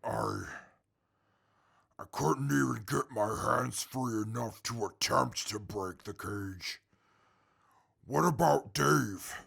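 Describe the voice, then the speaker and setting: Casting: proud mutant warrior, very gravelly, deliberate pace, formal and menacing, quick to indignation, post-apocalyptic radio drama. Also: male voice, mid-range voice, a bit bright, exaggerated voice. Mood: grim, weary. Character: proud, irritable male super mutant warrior in captivity.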